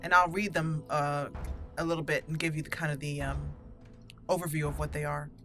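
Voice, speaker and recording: A woman's voice: sits low, level tone, a little fast, music in the background. A woman giving casual, chatty commentary as she plays and roleplays a game, talking to the characters. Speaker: host of a roleplay game playthrough.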